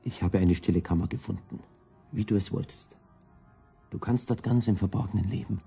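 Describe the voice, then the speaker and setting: An actor playing a quiet, doubting scholar friend in a German radio play, with a husky, gravelly voice and a hushed, questioning manner. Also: male voice, lowish voice, a little fast, soft background music, exaggerated voice. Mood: confiding. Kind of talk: German radio drama acting.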